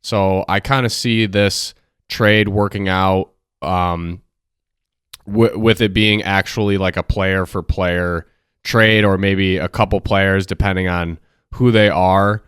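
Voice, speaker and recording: A man co-hosting a casual sports podcast, talking in a hesitant way with many pauses. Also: sits low, steady tone, a bit bright, clear, resonant tone, dry recording, studio quality. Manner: hesitant, lots of ums. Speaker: podcast co-host.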